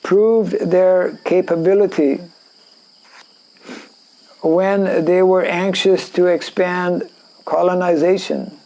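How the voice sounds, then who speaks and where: A man with a French Canadian accent, a native French speaker from Canada, reading and explaining scripture in a class, halting with fillers and frequent throat clearing. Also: high voice, fairly even intonation, warm tone, low-quality recording. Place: indoor class in a rural town.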